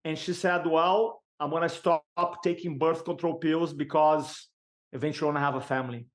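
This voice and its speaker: A middle-aged man with a Brazilian Portuguese accent speaks as a guest on a podcast, in a fairly high voice. He tells stories with energy, laughs often and acts out the people he quotes. His first language is Portuguese, and it shows in his English. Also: steady tone, brisk. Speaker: sales and speaking coach, guest. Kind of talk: storytelling interview chat.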